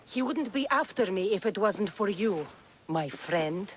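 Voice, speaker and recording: A woman's voice: mid-range voice, expressive, a little slow, noisy background, close to an everyday voice. An actress playing a tough young female mercenary in a video game cutscene, blunt, dry and sardonic. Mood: wry, impatient.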